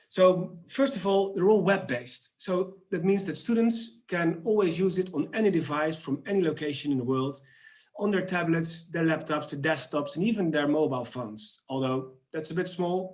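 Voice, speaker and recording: A middle-aged man with a Dutch accent walks through slides in an upbeat, chatty way. His voice sits a little higher than most men's, and he drops plenty of fillers and restarts. He is heard over a video call from a small room. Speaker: company presenter. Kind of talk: webinar product presentation.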